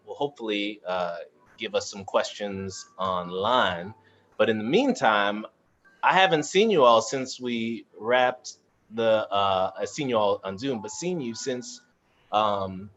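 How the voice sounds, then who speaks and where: Low, muffled man hosting a casual panel. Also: fairly bright tone, a little hiss or hum. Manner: halting, searching for words. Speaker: moderator and cast member. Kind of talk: casual live panel moderation. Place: online video call. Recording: muffled, dull video-call audio.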